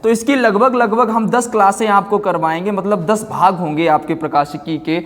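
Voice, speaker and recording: A man's voice: high voice, steady tone, normal speed. A man teaching an online exam-prep class in an explanatory way, repeating himself and mixing in English terms. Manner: explanatory, repeats check-words and phrases. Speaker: physics teacher. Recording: long hall-like reverb.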